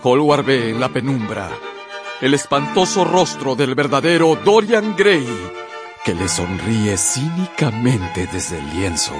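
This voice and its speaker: A man's voice: mid-range voice, sing-song, a little slow. A man narrating a literary radio drama in a scripted, dramatic storytelling style. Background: music.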